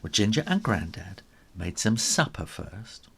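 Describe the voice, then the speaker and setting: A man narrating a children's story with hugely varied pitch. His voice is creaky and husky, and he takes his time, stretching words for dramatic effect. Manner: animated, dramatic, drawn-out. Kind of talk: expressive children's story reading.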